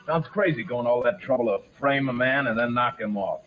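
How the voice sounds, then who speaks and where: A man's voice: medium pitch, brisk, phone-quality sound, lightly acted. A man playing a terse, cynical smuggler in a 1940s radio drama. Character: cynical, crooked male smuggler accomplice. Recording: thin old radio, faint noise.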